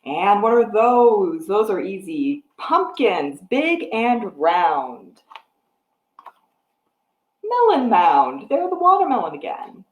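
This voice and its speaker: A woman's voice: mid-range voice, big pitch swings, slow pace, echoey room, poor audio. A middle-aged American woman talking to young children as a storytime librarian, with simple explanations and questions. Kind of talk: picture-book read-aloud and craft talk to young children. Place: room at home with wooden floor and piano. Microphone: device microphone at about arm's length.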